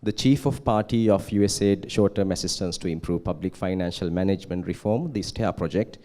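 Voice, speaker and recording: A man introducing the next speaker at a workshop in a formal, measured way. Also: deep voice, dark tone. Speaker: master of ceremonies.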